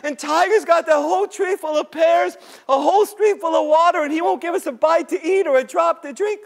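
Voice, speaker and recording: A man's voice: high-pitched, moderate pace, gravelly. A man voicing small, frightened, starving woodland creatures with a plaintive, pleading whine in an oral folktale. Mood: tearful complaint. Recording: echoey hall with long reverb.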